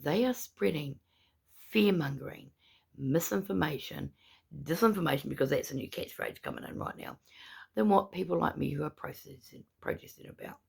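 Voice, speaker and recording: Brief: gravelly, husky-voiced speaker with a New Zealand accent, gummy without teeth, indignant protester monologue. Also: mid-range voice, animated, moderate pace. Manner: indignant, repetitive, emphatic. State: gummy speech, no dentures in. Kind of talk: angry ranting monologue.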